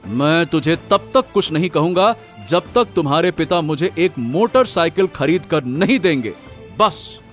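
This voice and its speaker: A man's voice: a little high, animated, measured pace, faint music behind. A man playing an aggressive, greedy young husband who bullies his wife in a cartoon moral story. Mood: demanding, harsh.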